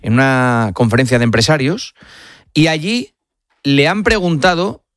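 A man hosting a late-night radio sports show, talking conversationally and pausing on fillers. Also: medium pitch, fairly bright tone, no echo, clean studio sound. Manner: conversational, hesitant fillers between points. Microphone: studio microphone.

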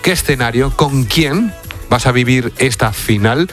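A male radio host with a Spanish accent from Spain chats casually and reacts with short interjections. His middle-pitched voice moves around in a lively way. Soft music plays underneath. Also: measured pace. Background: background music.

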